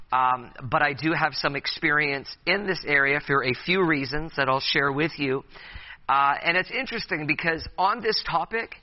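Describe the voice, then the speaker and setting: Man, thirties, youth pastor, conference talk, hesitant fillers, playful, dull lo-fi sound. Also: on the high side. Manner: hesitant, many uh fillers and restarts, playful with audience.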